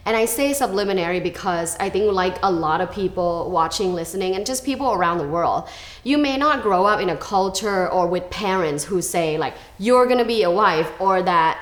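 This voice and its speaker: A woman's voice: fairly low pitch, echoey room. A young woman from Thailand, a guest expert talking in a thoughtful, casual way, often asking rhetorical questions. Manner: thoughtful, many fillers, asks rhetorical questions. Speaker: guest, sex and relationship expert. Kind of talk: casual conversation.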